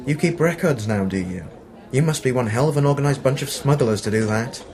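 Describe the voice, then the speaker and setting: A man's voice: mid-range voice, fairly quick, darkish tone, natural voice. Sardonic man acting a 1940s newspaper reporter. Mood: scornful. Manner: dry, sardonic. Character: sarcastic, defiant male 1940s newspaper reporter. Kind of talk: amateur radio play acting.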